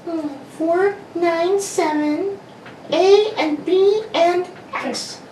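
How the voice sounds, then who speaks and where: A man plays an excitable little girl in a radio play, very high in pitch and emphatic. She is eager and bossy, speaking slowly, heard from off the mic in a roomy space. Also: exaggerated voice. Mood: eager, impatient. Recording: distant roomy mic with faint noise.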